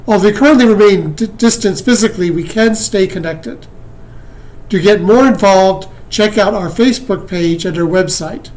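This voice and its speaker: A man, serving as worship associate, reading the welcome and announcements slowly. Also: high voice, a little mellow, poor audio.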